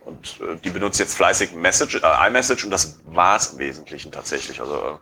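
A man in his forties chatting casually on a podcast, rambling and pausing often with fillers. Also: low voice, sharp tone, slight background noise. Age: 40s. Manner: rambling, hesitant with many fillers.

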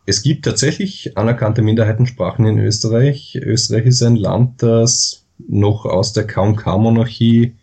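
A man's voice: lowish voice, fairly even intonation, a little mellow, husky. A man from western Austria with an Austrian accent, a podcast guest explaining at length in careful standard German with frequent hesitations. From Vorarlberg, western Austria. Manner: measured, explanatory, with many hesitations. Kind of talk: podcast interview.

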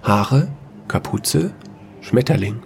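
Low, creaky-voiced male storyteller. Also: lively intonation, slow, music in the background. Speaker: narrator. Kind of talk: scripted sci-fi fairy-tale audio story narration.

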